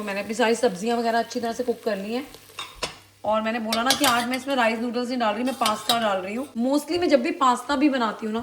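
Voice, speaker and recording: A woman's voice: a little high, noisy background. A woman lifestyle vlogger talking casually to her viewers, often slipping English phrases into her Hindi. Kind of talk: casual chat to camera. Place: home kitchen while cooking.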